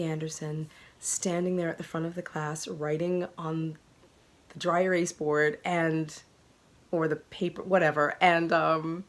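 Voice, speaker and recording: A woman hosting her podcast, telling a story to viewers in a gushing, excitable way, her voice a little croaky from a cold. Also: lowish voice. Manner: gushing and excitable, laughing often. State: recovering from a sore throat. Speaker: podcast host.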